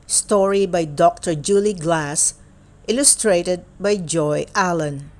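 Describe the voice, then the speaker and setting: Slow-paced woman reading a children's storybook. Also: lowish voice. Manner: deliberate, short phrases with playful exclamations. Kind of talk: read-aloud storytelling for young children.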